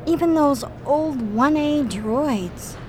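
Woman playing a slow, high-voiced earnest android. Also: background noise. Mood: earnest, inquiring. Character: female android partner, calm, earnest, curious about humans. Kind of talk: audio drama acting.

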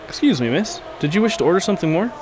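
A man's voice: high voice, big pitch swings, rapid-fire, noisy background. A man playing a polite male café waiter in an amateur radio drama.

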